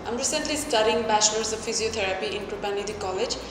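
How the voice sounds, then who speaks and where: Young female student from Nepal, fast introduction, reverberant and noisy. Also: medium pitch. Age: young adult. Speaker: student council information secretary. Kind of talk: self-introduction to camera. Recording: very echoey hall, clear background noise.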